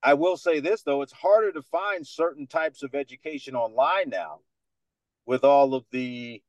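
A man in his thirties with a Dominican American accent, speaking as a podcast guest in a confident, animated way. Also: a little high, moderate pace, dry recording. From United States, New York. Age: 30s. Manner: confident, animated storyteller who acts out other people's lines. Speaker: guest, sports radio host. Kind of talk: casual podcast interview.

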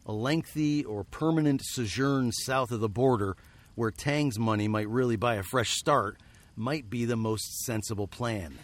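A man playing a weary, wry nineteenth-century fugitive, telling his tale in a deliberate, literary way for a radio drama. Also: mid-range voice, no room sound, natural voice. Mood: wry, resigned. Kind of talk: radio drama narration and acting.

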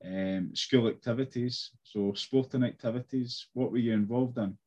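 A man's voice: medium pitch, normal speed, darkish tone, muffled recording. A man with a Glaswegian Scottish accent, casually hosting an interview. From Scotland. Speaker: host.

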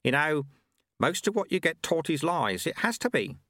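A man's voice: fairly high pitch, big pitch swings, moderate pace, a bit bright, no room sound, studio quality, pitched above the performer's own voice. A man voicing an old male sweeper monk, blunt and folksy, who mutters and drops his grammar. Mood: confiding.

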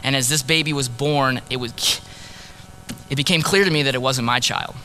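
A man preaching in the first person as a grieving ancient prophet, earnest and sorrowful, dropping to a hush on key words. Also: mid-range voice, fairly even intonation, moderate pace, a little hiss or hum, close to an everyday voice, low-quality recording. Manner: earnest, sorrowful storytelling, drops to hushed tones on key words. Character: grieving ancient male prophet, heartbroken husband. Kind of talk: dramatic first-person sermon monologue.

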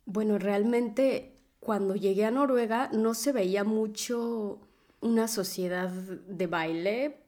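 A woman's voice: mid-range voice, slow pace, dark tone. A woman, a dance teacher, telling her story as a podcast guest in a chatty way, often adding "¿no?". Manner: chatty, fills with "¿no?" and "este". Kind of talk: casual interview answers.

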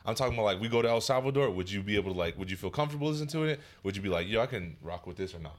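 A man's voice: fairly low pitch, expressive, rapid-fire. A man co-hosting a casual podcast, talking loosely and profanely and steering the conversation. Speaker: podcast co-host. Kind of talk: casual chat.

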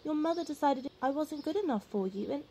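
A woman's voice: sits high, expressive, brisk, mellow, slight background noise. A woman in an audio play acting an outspoken, exasperated old flame, forthright and sardonic. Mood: frank, coaxing.

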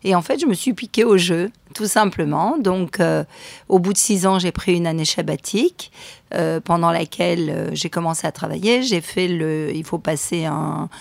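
A woman's voice: fairly low pitch, normal speed, vocal fry, no echo. A middle-aged woman from France, a guest on a radio show, talking in a chatty, hesitant way with many fillers. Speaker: guest, real estate agent. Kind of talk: radio interview.

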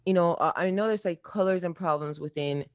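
A woman's voice: on the low side, a little fast, no echo, clean studio sound. Rambling young woman, light Latin accent. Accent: light Latin American. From born outside the US. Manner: rambling, lots of fillers and restarts. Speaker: podcast co-host. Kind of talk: casual chat.

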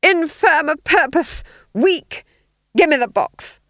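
A woman's voice: fairly high pitch, slow pace, creaky, no room sound, clean studio sound, pitched above the performer's own voice. A woman voicing a nervous, unhinged male duke in a storybook, peremptory and overwrought. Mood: furious. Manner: screaming, hissing, peremptory.

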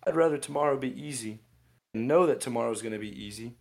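A man's voice: mid-range voice, quick pace, vocal fry, a little mellow. A man in his twenties co-hosting a casual podcast, giving rambling motivational advice with lots of restarts and self-corrections. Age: 20s. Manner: rambling, ranting, with frequent restarts. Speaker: podcast co-host.

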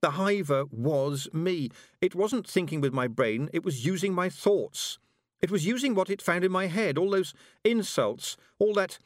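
A man's voice: wildly swooping pitch, unhurried, no room sound, studio quality, exaggerated voice. A man voicing an upset, defiant young witch girl, wailing and snappish, in audiobook style. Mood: woeful, wailing. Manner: wailing, snappish, high-strung. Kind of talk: audiobook character acting.

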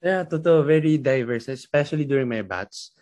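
A man's voice: medium pitch, a little fast, a little mellow. A young Filipino man with a Filipino accent hosting a casual interview, hesitant with many fillers as he frames questions. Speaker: podcast host.

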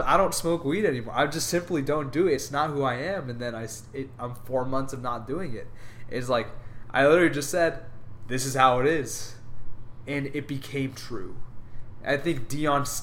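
A man's voice: mid-range voice, moderate pace, fairly bright tone, slight background noise. A young man co-hosting a casual podcast, talking in an earnest, rambling, upbeat way. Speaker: podcast co-host. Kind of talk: casual chat.

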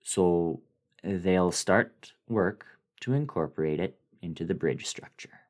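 Man acting an uneasy, halting, worn-out colleague. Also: deep voice. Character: uneasy, exhausted male colleague in a horror story.

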